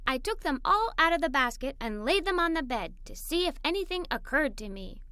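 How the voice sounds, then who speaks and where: A woman plays an eager, imaginative little girl narrating her own storybook adventures. The voice is high and bright, with animated, swooping intonation. The delivery is expressive and enthusiastic, made for young listeners. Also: brisk, no room sound, heavily acted. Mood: curious. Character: Molly, excited adventurous little girl telling her day. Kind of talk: children's read-aloud story narration.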